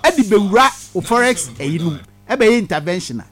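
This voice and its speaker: Ghanaian commentator breaking down the economy, pretty high voice, animated, faint music under it. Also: male voice, brisk, slightly rough, no room sound. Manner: analytical, explaining economics in Twi mixed with English terms.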